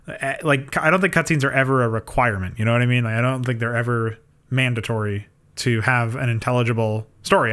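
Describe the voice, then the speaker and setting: Mid-pitched man arguing casually. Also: a bit bright. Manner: sweary, self-correcting, argumentative. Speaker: podcast host. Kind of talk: casual argumentative discussion. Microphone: personal mic on an online voice call.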